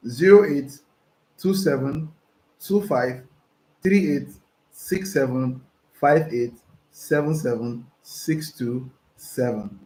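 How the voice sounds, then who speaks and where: A young Nigerian man with a slightly hoarse voice, coaching viewers live and reading their messages aloud, excitable and prone to restarts. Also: medium pitch, slow. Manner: excitable, repeats himself, stumbling restarts. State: hoarse from talking. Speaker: online business coach. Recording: roomy echo, faint background noise.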